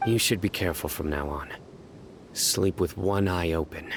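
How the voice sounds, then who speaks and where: Brief: a grave, deep-voiced game lawman, steady and quiet, over clear background noise. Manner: calm, low and measured. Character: stern young male desert lawman. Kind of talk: game cutscene dialogue.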